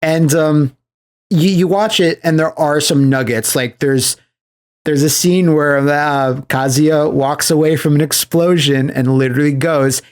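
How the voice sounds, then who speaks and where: A man hosting a podcast in casual chat, recapping things as an animated storyteller who slips into acted-out quotes. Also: fairly high pitch, a little slow. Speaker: podcast host.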